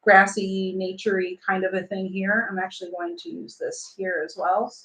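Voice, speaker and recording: A woman hosting a casual painting stream, calmly explaining her technique as she works. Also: mid-range voice, normal speed, rough recording. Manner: relaxed, explains technique while working, hums. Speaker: painting livestream host.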